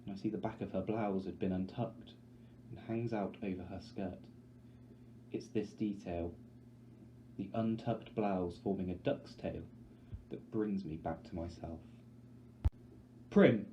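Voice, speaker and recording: A man's voice: low voice, steady tone, measured pace, dark tone. A man reading a story aloud as scripted, dramatic narration. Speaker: audiobook reader, teacher. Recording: dull recording with light background noise.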